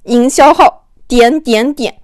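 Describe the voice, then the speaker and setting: A woman's voice: fairly high pitch, slow pace, no echo, studio quality. A woman narrating an audiobook in a chatty, gossipy, playful storytelling style.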